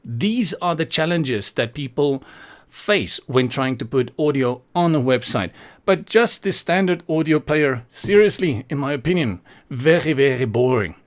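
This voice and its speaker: A man's voice: mid-range voice, animated, a little slow. Man giving a casual, opinionated tutorial. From South Africa. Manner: opinionated, playful, thinking aloud. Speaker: tutorial presenter.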